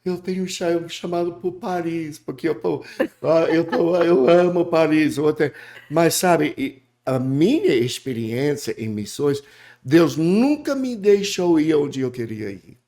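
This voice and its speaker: Man speaking halting Portuguese. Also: on the high side, animated, mellow. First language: English. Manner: halting, restarts, repeats words. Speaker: guest preacher. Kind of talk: casual interview chat.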